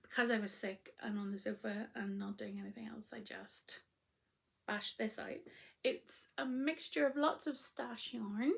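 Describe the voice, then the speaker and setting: Croaky-voiced woman chatting about crafts. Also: mid-range voice, steady tone, a little slow. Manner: chatty, rambling, lots of ums. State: croaky voice after illness. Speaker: knitting podcast host. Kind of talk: casual chatty video podcast.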